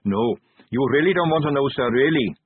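An earnest young police captain from a storybook, acted by a man, deferential and keen to explain. The pitch moves around a lot, the voice is a little husky, and the sound is thin and narrow like a phone. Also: very animated, normal speed, no room sound. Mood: anxious, earnest. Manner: respectful, eager, explanatory. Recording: narrow-band, phone-like audio.

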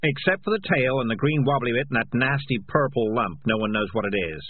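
A man's voice: medium pitch, lively intonation, brisk, narrow, tinny audio, a little hiss or hum, pitched above the performer's own voice, natural voice. A man voicing a talking tomcat in a storybook, gloomy, defensive and guilt-ridden, blustering and evasive. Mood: defensive, agitated.

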